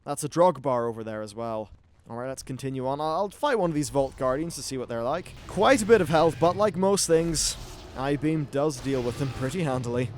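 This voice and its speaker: A man's voice: medium pitch, expressive, fairly quick, slight background noise. A man hosting a gaming video, giving casual, informal gameplay commentary with some swearing.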